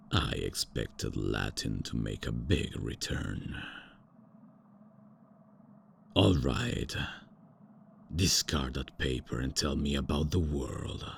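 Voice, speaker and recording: A man's voice: rumbling low, gravelly, a little hiss or hum, exaggerated voice. A man voicing a haughty, out-of-touch ancient male vampire lord in a comedy ASMR roleplay, with a pompous, theatrical manner. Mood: bewildered.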